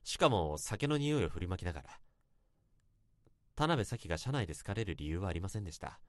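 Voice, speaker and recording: Guy acting earnest young office worker, low voice, really expressive polite storytelling, radio drama confession, dry studio sound. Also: fairly quick, dry recording. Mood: wistful, reflective. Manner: polite, formal, literary storytelling.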